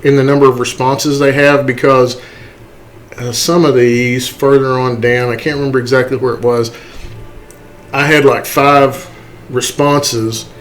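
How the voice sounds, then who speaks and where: A man giving an unscripted software tutorial, halting and step-by-step, often checking in with a questioning okay. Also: medium pitch, slight background noise. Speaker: tutorial host.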